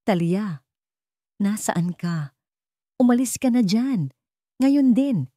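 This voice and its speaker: A woman's voice: medium pitch, animated, slow, dark tone, no echo, clean studio sound, pitched above the performer's own voice. A woman voicing a fearful man giving urgent, insistent warnings in an audio drama. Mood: fearful urgency.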